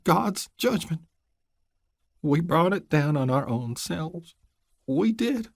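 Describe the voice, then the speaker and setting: A man's voice: a little high, animated, takes it slowly, creaky, no echo, clean studio sound, voice pushed up, exaggerated voice. A man voicing an older rural mother, grieving and speaking in a thin, shaky voice. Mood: grieving, tender.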